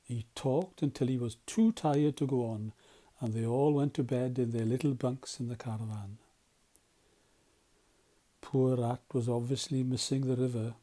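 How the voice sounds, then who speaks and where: A man's voice: medium pitch, a little slow, warm tone. A man narrating a children's storybook as a volunteer storyteller.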